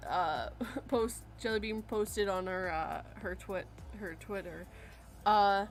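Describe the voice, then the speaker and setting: A woman's voice: medium pitch, slow, faint music behind. A woman guesting on a casual podcast chat, laughing often as she talks. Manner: laughs often while talking.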